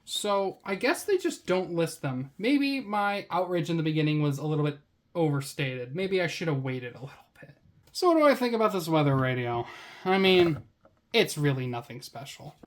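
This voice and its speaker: Casual, low-pitched woman reviewing gadgets. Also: animated, normal speed. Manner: wry, slangy, easily amused. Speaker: product reviewer, vlog host. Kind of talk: casual product review chat.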